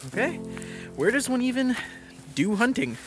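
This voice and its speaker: A man playing a chatty, inquisitive and slightly uneasy hiking companion in an audio drama, with an expressive, questioning delivery. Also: extremely high pitch, moderate pace, faint music behind. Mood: curious, wistful. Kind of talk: naturalistic audio drama dialogue.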